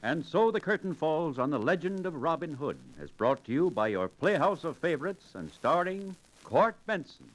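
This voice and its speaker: A man's voice: on the high side, sing-song, normal speed, few pauses, low-quality recording. A man reading a scripted sign-off as announcer in 1940s radio style. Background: music.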